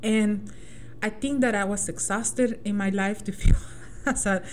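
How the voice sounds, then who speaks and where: A woman's voice: medium pitch, steady tone, measured pace, a little hiss or hum. A middle-aged woman from El Salvador hosting a community radio show, sharing a personal story in an earnest, reflective way. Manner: earnest, reflective, with many restarts and "right?" tags. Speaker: radio host.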